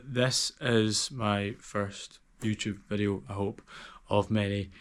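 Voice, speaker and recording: Young scottish guy vlogging, chill and a bit hesitant, low monotone voice. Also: smooth. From Scotland. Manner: relaxed, many ums and restarts. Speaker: vlogger, outdoor instructor. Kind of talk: casual vlog talk.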